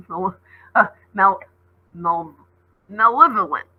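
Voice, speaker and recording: A woman's voice: mid-range voice, takes it slowly, husky, narrow, tinny audio. A woman teacher reading a storybook aloud expressively and dramatically, with asides to her listeners.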